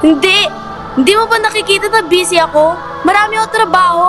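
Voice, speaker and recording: A woman's voice: very high-pitched, fairly quick, over music. A woman playing a troubled, defensive schoolgirl in an amateur radio drama. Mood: irritated. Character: troubled schoolgirl Sheila, snappy and defensive with family.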